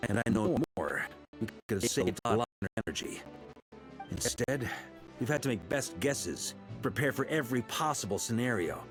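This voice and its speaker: An actor playing a confident, genial knight commander in a fantasy video game, with a rallying, theatrical manner. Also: male voice, mid-range voice, expressive, moderate pace, close to an everyday voice. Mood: explaining plans. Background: game music.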